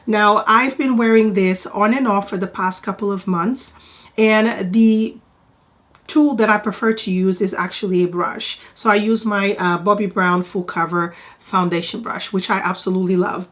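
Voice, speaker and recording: A woman with a stuffy, congested voice from a cold talks through a beauty product review. Her first language is Portuguese, and she chats in a rambling, friendly way, doubling words for emphasis. Her pitch sits right in the middle for a woman. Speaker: beauty vlogger. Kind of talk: casual product review to camera.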